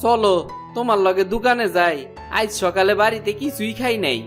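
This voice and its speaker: A man's voice: high voice, brisk, a bit bright, soft background music. A man playing a lazy, carefree young village son in a cartoon, whining and complacent, with an eastern Bengali accent. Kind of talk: cartoon folk-tale voice acting.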